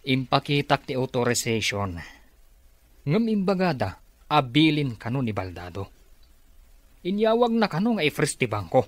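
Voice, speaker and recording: An actor with an Ilocano accent playing a hapless, defensive accomplice in a radio drama. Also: male voice, mid-range voice, big pitch swings, normal speed, long pauses, no echo, lightly acted. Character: hapless male accomplice making excuses.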